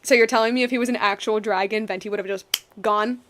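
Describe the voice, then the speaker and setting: A woman game streamer giving casual, animated reaction commentary on a playthrough, slangy and repeating her words. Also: medium pitch, fast, noisy background.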